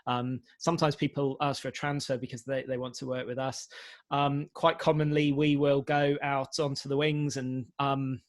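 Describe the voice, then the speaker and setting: A man's voice: mid-range voice, level tone, normal speed, dry recording. A man speaking on a panel for a radio organisation, explaining hesitantly and often repeating words. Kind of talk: spontaneous panel talk.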